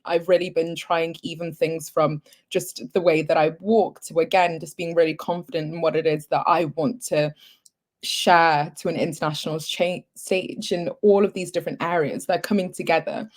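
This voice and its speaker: Upbeat young woman, low voice. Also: a little mellow. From Zimbabwe. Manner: earnest, upbeat, articulate. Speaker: pageant contestant, guest. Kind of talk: interview answers.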